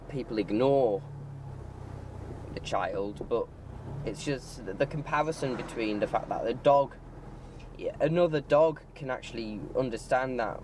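A man giving a casual vlog monologue in a hesitant, self-correcting way while driving. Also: medium pitch. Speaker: vlogger. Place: inside a moving car. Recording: loud car engine and road noise. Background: car and road noise.

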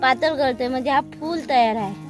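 A woman's voice: high-pitched, fairly quick, music in the background. Middle-aged woman explaining fruit work outdoors. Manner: practical, explains with demonstrations. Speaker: vlogger's mother. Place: hillside orchard outdoors.

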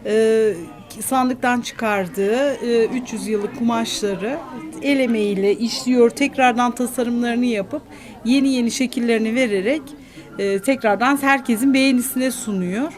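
A woman with a slightly high voice gives explanatory interview answers. She speaks as a curator and assistant, outdoors at an event. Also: unhurried, darkish tone.

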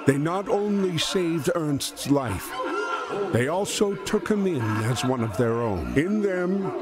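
A man narrating a wartime history game as a solemn storyteller, in measured scripted delivery. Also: mid-range voice. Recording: music behind the voice. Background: music.

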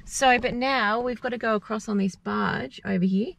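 A woman's voice: on the high side, moderate pace, creaky. A woman in her forties with an Australian accent, chatting enthusiastically while narrating a family road trip vlog from the car. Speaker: travel vlogger. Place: inside a car and outdoors by a lake.

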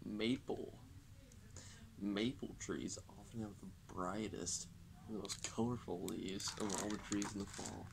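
Young male reader, low gravelly voice, deadpan delivery of a children's nature book. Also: measured pace, noisy background. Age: young adult. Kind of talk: reading a children's non-fiction book aloud. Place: indoors, public library, at a table.

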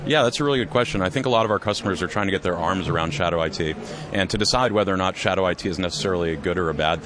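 Low-pitched, fast-talking male founder, measured and explanatory. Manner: measured, explanatory, with frequent restarts. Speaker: company co-founder and CEO, interview guest. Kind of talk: conversational business interview. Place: trade expo floor.

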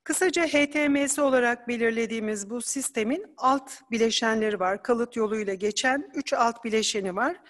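Woman presenter, slightly high voice, measured explanatory delivery, hall reverb. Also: fairly bright tone. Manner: measured, explanatory, with hesitation fillers. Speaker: conference presenter. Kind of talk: academic slide presentation.

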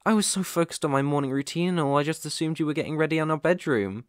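A man's voice: on the high side, quick pace, no room sound, studio quality, lightly acted. A man playing a caring, worried boyfriend in an ASMR roleplay, talking in a soothing, tender, reassuring way. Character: caring, worried boyfriend fussing tenderly over sick partner.

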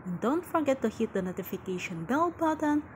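Woman, young adult, vlogger, scripted voice-over, slightly high voice, noisy outdoor recording. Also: big pitch swings, brisk, a little mellow.

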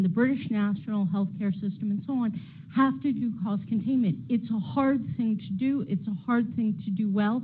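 Audience woman, measured comment, phone-thin sound with a faint room hum. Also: a little high, phone-quality sound. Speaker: audience member. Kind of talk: comment from the floor.

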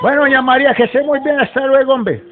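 A man's voice: very high-pitched, fast, over loud music. A man voicing a rustic, foul-mouthed, agitated 78-year-old from Antioquia, Colombia, in a folksy, rambling prank phone call. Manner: folksy, rambling, swearing, repetitive. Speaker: prank caller. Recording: muffled, very noisy phone recording with clicks.

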